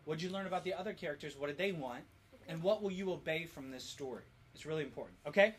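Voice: medium pitch, fast talker. A parent leading a family Bible study, teaching children with questions and repeating answers back in an instructive way. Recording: distant mic with light background noise.

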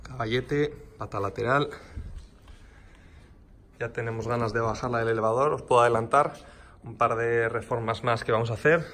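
A man walks through a motorcycle build in his workshop, talking in a casual, explanatory way with small restarts. His voice is bright and forward, recorded on a handheld phone, with a faint hum of workshop noise behind him. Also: medium pitch, steady tone, normal speed, long pauses. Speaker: motorcycle customizer. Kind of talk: casual walkthrough. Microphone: handheld phone camera.

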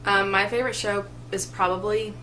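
A woman's voice: medium pitch, steady tone, fairly quick, pushed and bright, slight background noise, low-quality recording. A woman in her twenties chatting casually to a webcam in a small room, with lots of "like" and "um" fillers. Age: 20s. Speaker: co-host. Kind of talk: casual chat. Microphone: webcam at desk distance.

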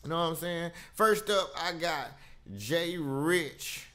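A man's voice: on the high side, slow pace, a bit bright. An American man hosting a podcast, chatting casually as he reacts to videos. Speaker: podcast host.

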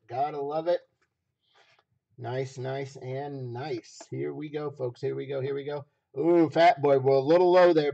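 Gravelly, slightly hoarse male auctioneer. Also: on the high side, mellow, muffled recording. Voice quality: rough, raw, gravelly. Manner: folksy, chatty salesman. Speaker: livestream auctioneer and host. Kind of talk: casual auction patter with repeated phrases.

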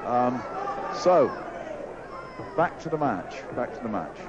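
A man's voice: mid-range voice, sing-song, slow pace. A man casually co-commentating on a live football match for radio. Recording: dull, noisy stadium broadcast with crowd.

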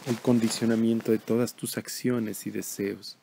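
A man leading a guided meditation in a calm, measured, instructional way. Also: fairly low pitch, creaky, slight background noise.

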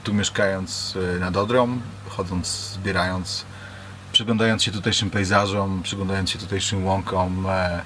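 A man presenting his own creative project as its musician and creator, in halting, hesitant phrases. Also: low-pitched, little pitch movement, fairly bright tone, slight background noise.

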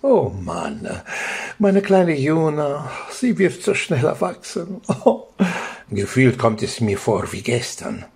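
An actor voicing a jovial, doting, teasing family man with a creaky, husky voice in a children's radio play. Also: on the high side, big pitch swings, darkish tone, heavily acted. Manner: chuckling, exclaiming, drawn-out phrasing. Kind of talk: German children's audio drama acting.

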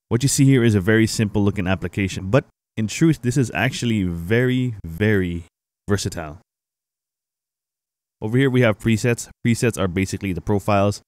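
A young man hosting a casual software tutorial, explaining things informally to viewers on a close microphone. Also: deep voice, no room sound. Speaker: tutorial host, gaming streamer. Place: indoor home streaming setup. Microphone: close boom-arm microphone.

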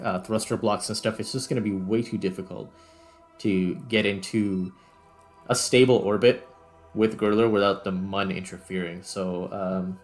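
A man giving casual gameplay commentary as he hosts. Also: on the low side. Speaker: host, gamer. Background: background music.

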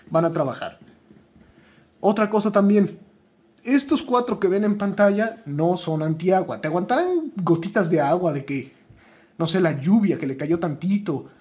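A man's voice: high-pitched, darkish tone. A man with a Mexican Spanish accent giving buying tips in a chatty, informal, unscripted way, correcting himself as he goes. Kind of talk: casual unscripted product talk.

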